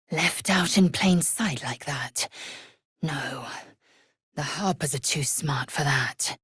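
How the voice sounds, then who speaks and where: Video game role: proud, scornful female alien warrior. Low, raspy voice, curt commands, measured delivery. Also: fairly bright tone, no room sound, studio quality, exaggerated voice. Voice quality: husky, raspy. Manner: imperious, clipped, deliberate. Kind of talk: video game character voice lines.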